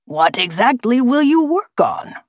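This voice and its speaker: A woman narrator puts on a male talking raven from an audiobook, proud and imperious. The voice is forced and gravelly, recorded very dry. Each phrase comes out formal and accusing, full of distrust. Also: fairly high pitch, very animated, measured pace, no room sound, pitched above the performer's own voice. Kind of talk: audiobook character voice.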